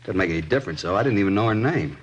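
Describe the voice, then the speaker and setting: An American actor playing a tense, evasive male murder suspect in an old-time radio crime drama, husky and defensive. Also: lowish voice, animated, very fast, lo-fi sound. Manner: defensive, rattled, hedging.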